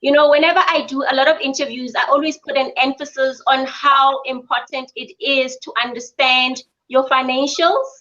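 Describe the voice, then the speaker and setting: Woman from South Africa with a high voice, speaking earnestly and at a measured pace, recorded on dull lo-fi audio. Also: steady tone, bright, forceful tone. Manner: measured, earnest, with frequent ums. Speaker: spa business owner. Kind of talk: interview answers. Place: her mother's home.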